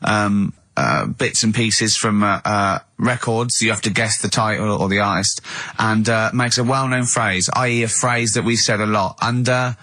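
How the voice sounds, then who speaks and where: An English man co-hosting a radio show with excitable, teasing banter and a readiness to laugh. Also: fairly low pitch, moderate pace, hardly any pauses, dry recording. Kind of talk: unscripted comic radio banter.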